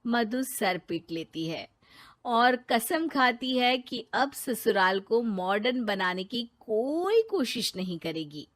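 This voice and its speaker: A woman's voice: on the high side, sing-song, slow, fairly bright tone. A woman narrating a scripted children's storybook tale.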